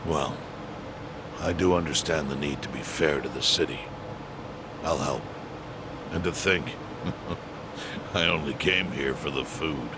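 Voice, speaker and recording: An actor playing an elderly, world-weary chairman in a video game, with a measured, dry, pragmatic manner over background noise. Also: a bass voice. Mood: wry. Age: older. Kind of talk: English video game voice acting.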